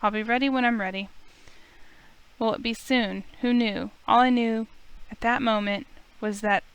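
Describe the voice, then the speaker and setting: A woman reading a visual novel aloud as an expressive, dramatic narrator. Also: fairly high pitch, moderate pace, clean tone, dull audio. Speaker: let's play streamer. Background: music in the background.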